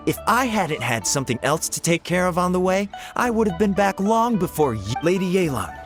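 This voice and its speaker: Animated, earnest young assistant. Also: male voice, sits high, fairly quick, over music. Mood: exasperated. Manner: earnest, expressive. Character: earnest, dutiful, easily exasperated young male assistant. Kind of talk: video game voice acting.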